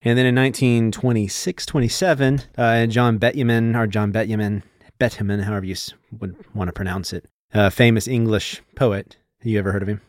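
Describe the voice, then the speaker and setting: A man with a Texan accent, chatting as a podcast co-host who gives background context, rambling and quick to laugh. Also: fairly low pitch, steady tone, no echo, clean studio sound. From Texas, USA. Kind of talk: casual chat.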